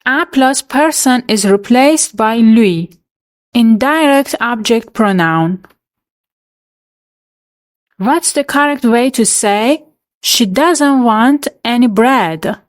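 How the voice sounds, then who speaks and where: A woman reading out a French quiz for learners, pronouncing each answer choice and explanation in a measured, scripted way. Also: fairly high pitch, expressive. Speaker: French teacher.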